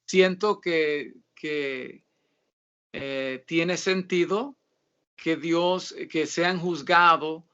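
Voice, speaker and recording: A man with a bright, sharp voice answers questions in a casual religious interview. He speaks haltingly and pads his thoughts with filler sounds and pauses. The audio is dull and lo-fi. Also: fairly high pitch, fairly even intonation, smooth. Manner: reads scripture aloud in a steadier tone. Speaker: guest author answering questions.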